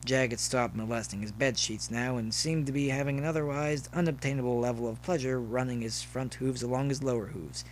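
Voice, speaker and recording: A man narrates a scripted story in a medium-pitched voice. He reads prose as a storyteller would. A faint hum sits behind the recording. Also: steady tone, fairly quick, few pauses, poor audio. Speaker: narrator, fan fiction reader.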